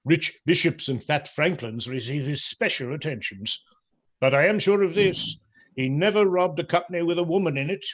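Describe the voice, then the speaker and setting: A man's voice: on the high side, moderate pace, a bit bright. A man playing a genial, pious, apologetic outlaw in a radio play, speaking in a wry, placating, courtly way. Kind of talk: theatrical radio play acting.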